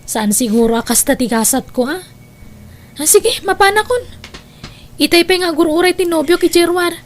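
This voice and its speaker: A woman's voice: extremely high pitch, normal speed, slight background noise. A woman playing a stubborn, insistent young college daughter in a Filipino radio drama. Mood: resolute.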